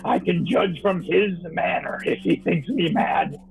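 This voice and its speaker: A male actor playing a haunted, sleepless man who fears for his sanity, in a halting, theatrical old-time radio drama monologue. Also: a little high, gravelly. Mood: desperate. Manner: theatrical, halting, archaic literary diction. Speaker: actor in a live audio drama. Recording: thin phone-like audio with faint music.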